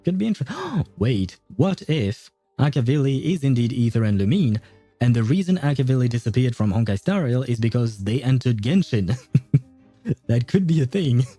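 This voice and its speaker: A man's voice: medium pitch, expressive, moderate pace, warm tone. A man livestreaming a game, casually thinking aloud and theorizing in an informal, mildly sweary way. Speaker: livestreamer playing a story game. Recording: roomy echo, faint music behind. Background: faint game music.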